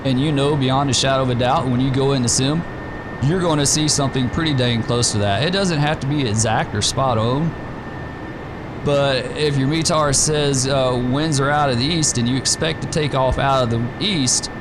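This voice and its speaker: Slightly hoarse man chatting over gameplay. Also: mid-range voice, normal speed, noisy background. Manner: relaxed, rambling, many fillers. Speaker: gaming channel host. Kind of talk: casual gameplay commentary.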